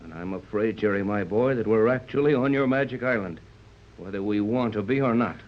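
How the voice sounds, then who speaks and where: An actor playing a steady, fatherly older yacht captain in a 1930s radio serial, measured and authoritative as he gives orders. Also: lowish voice, lightly acted. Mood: calm, commanding. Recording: muffled, noisy 1930s radio recording.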